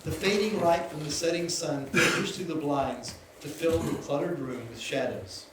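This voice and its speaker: A man's voice: fairly high pitch, normal speed. A man reading scripted stage directions as narrator at a live table read. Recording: very echoey, distant, noisy stage recording.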